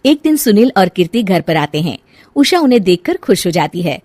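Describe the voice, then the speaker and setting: A woman's voice: mid-range voice, sing-song, a little fast, a little mellow, no echo. A woman storyteller reading scripted narration for a cartoon family story.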